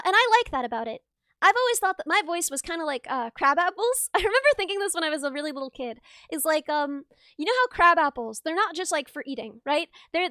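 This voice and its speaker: A young woman streaming live, chatting casually with viewers in a rambling, laughing way, her voice a little raw and rough. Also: high-pitched, sharp tone, no echo, studio quality. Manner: rambling, chatty, laughs often, reads chat aloud.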